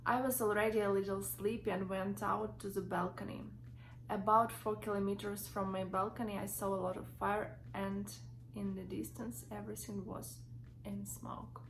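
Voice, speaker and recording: A young woman with a Ukrainian accent, reading her own story from notes in a halting way with many fillers and restarts. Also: medium pitch, flat delivery, poor audio. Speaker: vlogger.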